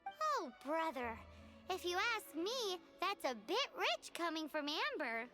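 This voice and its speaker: A woman's voice: very high-pitched, sing-song, a little slow, exaggerated voice. A woman voicing a tiny childlike fairy companion in a video game, chirpy and exaggerated. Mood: chatty, explaining. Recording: loud game music behind the voice.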